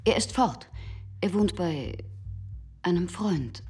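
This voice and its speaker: Shopkeeper's wife, radio drama: low, heavily husky voice, guarded and terse, gives nothing away. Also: female voice, takes it slowly, soft background music, full character voice. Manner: flat, terse, withholding. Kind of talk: radio crime drama acting.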